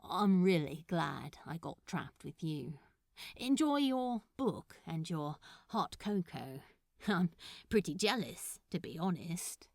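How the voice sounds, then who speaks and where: A shyly flirtatious man in a romantic story, put on by a woman reader. He sounds smitten and self-conscious, with slow, halting delivery in a dry, close recording. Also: lowish voice, big pitch swings, creaky, a little mellow, no room sound, pitched below the performer's own voice, full character voice. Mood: tender flirting.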